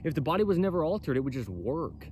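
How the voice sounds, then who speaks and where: A man with a loud, carrying voice explains things off the cuff. He talks boldly and emphatically, circling back to repeat his points. There is some ambient noise behind him. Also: a little high, big pitch swings, fast. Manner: bold, emphatic, repetitive. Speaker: presenter for a clothing company. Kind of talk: impromptu explainer on body mechanics.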